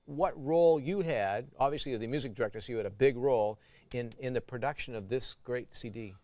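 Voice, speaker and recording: A man's voice: mid-range voice, a little fast, dark tone, dry recording. A man cohosting a religious talk show, asking affirming, encouraging questions. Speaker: TV host. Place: TV studio.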